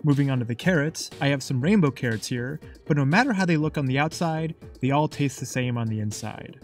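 A man's voice: medium pitch, expressive, brisk, faint music behind. A man narrating a cooking lesson in a scripted voice-over with a playful, jokey tone. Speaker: cooking show host.

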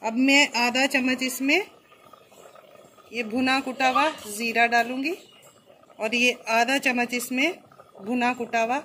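A woman hosting a cooking channel, calmly explaining each step as she cooks at an outdoor stove. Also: a little high, unhurried, pauses often, a little hiss or hum.

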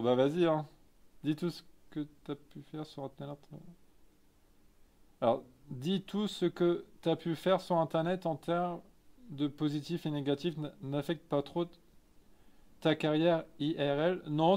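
Guy in his 30s w/ eastern french accent, slightly high voice, chatting slangy to his live chat. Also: steady tone, a little slow, a little mellow. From Lorraine, France. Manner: informal, candid, slangy. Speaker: live streamer and photographer. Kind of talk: casual chat, reading and answering live chat. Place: small indoor photo studio office.

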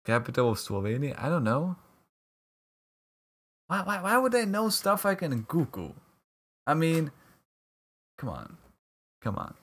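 Husky guy on a stream, laughing at chat, playful mock outrage. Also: fairly high pitch, sing-song, unhurried, pauses often, a bit bright. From Latvia. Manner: jokey, laughs often, mock-protesting at chat teasing. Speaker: livestream caster. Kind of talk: casual live-stream chat with viewers.

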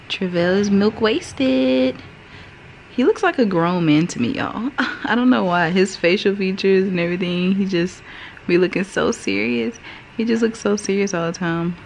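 Young american mom vlogging at home, casual chat, medium pitch, pretty noisy room sound. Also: female voice, a little slow. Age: young adult. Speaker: vlogger and stay-at-home mother. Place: small bedroom at home. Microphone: camera at arm's length. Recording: clearly noisy room ambience.

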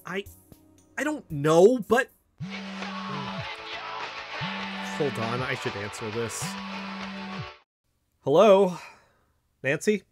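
An actor playing an excitable, anxious amateur investigator in an audio fiction podcast, speaking haltingly and emotionally. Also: mid-range voice, loud music behind the voice. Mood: insistent, flustered.

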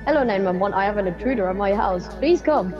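Lady doing a scared homeowner in a game skit, dramatic, muffled mic, loud music behind. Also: mid-range voice, lively intonation, quick pace, lightly acted. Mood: pleading. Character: frightened homeowner reporting an intruder, game skit.

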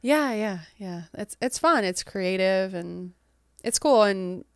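A soft-spoken American woman in her thirties, a guest in a relaxed interview who laughs easily and lets her sentences trail off. Her voice is smooth and clear, at an ordinary pitch. It is recorded close and dry. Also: takes it slowly, no room sound. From England. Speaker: guest author. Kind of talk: casual interview chat.

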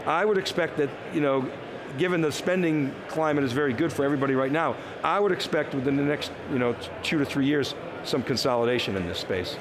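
A man's voice: on the high side, a little fast, noisy background. An older man, co-host and tech analyst, talking through market trends in a live trade show chat, restarting phrases as he thinks aloud. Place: TV set on a busy convention floor.

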